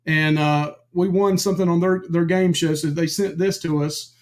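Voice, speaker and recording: A man with a high-pitched voice hosts a live stream and chats loosely with his viewers. He calls out names, says "um" and "uh" often, and sounds a little hoarse because his voice is giving out. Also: fairly even intonation, moderate pace. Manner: chatty, greets viewers by name, many fillers. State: losing his voice. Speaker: livestream co-host. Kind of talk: casual live-stream chat.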